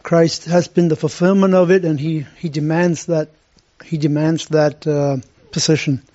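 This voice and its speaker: Man sharing reflections at a church meeting, hesitant and restarting, higher pitch, muffled recording. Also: flat delivery, mellow. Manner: halting, many restarts and fillers. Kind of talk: unscripted church discussion. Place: room with a group. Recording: dull lo-fi sound.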